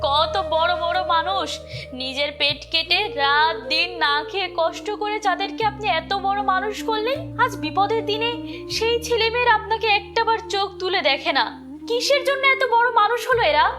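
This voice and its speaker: A woman plays a loyal, anxious village caregiver in a melodramatic cartoon. Her voice is extremely high, earnest and emphatic, over loud music. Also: moderate pace. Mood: indignant. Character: devoted, anxious village woman caring for an elder.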